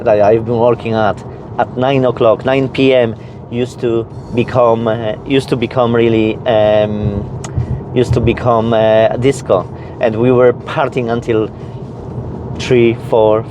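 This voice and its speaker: Slow-talking Polish-accented man, noisy background. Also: on the low side. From Poland. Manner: halting, repeats and rephrases phrases. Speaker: YouTube vlogger. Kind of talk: casual storytelling and chat to viewers.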